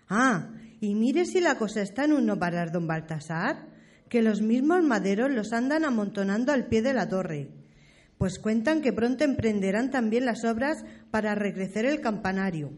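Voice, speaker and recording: A woman's voice: fairly high pitch, darkish tone. A woman from a radio play, with an accent from Spain, playing a priest's devoted servant in formal, old-fashioned, respectful speech. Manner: deferential, formal archaic speech. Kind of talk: period radio play acting.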